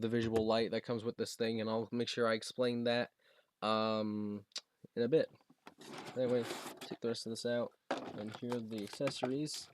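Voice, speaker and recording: A young American man chatting casually through a home unboxing video, halting and rambling as he goes. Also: medium pitch, a little mellow, a little hiss or hum. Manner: halting, rambling, reads box text aloud. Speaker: video creator showing a gadget. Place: basement room at home.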